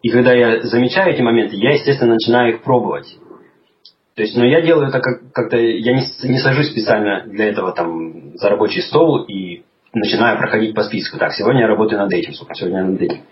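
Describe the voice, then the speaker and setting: A man talking in a relaxed conversation, thoughtful and hesitant, with many restarts as he explains things. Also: on the low side, quick pace. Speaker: guest. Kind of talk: casual conversation. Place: lives in England. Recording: slightly dull lo-fi audio with faint noise.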